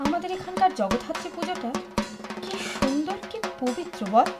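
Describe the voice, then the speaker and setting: A woman's voice: extremely high pitch, expressive, normal speed, over loud music. A woman playing a sentimental, effusive housewife in an audio drama, gushing and dramatic with old friends. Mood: wistful, warm. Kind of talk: Bengali audio drama acting.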